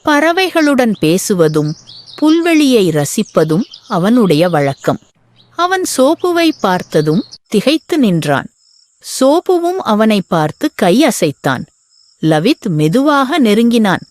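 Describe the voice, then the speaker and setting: A woman narrating a children's bedtime story in a gentle, expressive storytelling manner. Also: a little high, takes it slowly.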